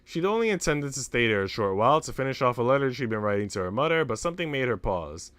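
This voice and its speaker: A man's voice: medium pitch, sing-song, fast, fairly bright tone, clean tone, no room sound. A man livestreaming a story game, switching between reading narration aloud and slangy, sweary casual chat. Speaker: streamer reading a visual novel aloud. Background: music.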